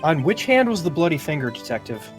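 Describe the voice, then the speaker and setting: A man's voice: fairly high pitch, very animated, fast talker, soft background music. A man voicing an earnest, uneasy young defense lawyer in a video game, plain-spoken and questioning. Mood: challenging.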